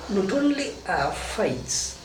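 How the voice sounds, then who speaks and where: A man's voice: sits high, very animated. Kenyan man teaching with echoey distant sound. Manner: emphatic, drawn-out stresses on key words. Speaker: marriage advice speaker. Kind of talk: teaching monologue. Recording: roomy echo from a distance, noisy.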